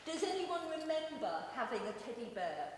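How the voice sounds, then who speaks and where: Woman interviewer, high-pitched and lively, asking group questions, clear noise behind in a reverberant space. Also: normal speed. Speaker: session interviewer. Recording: echoey hall with background noise.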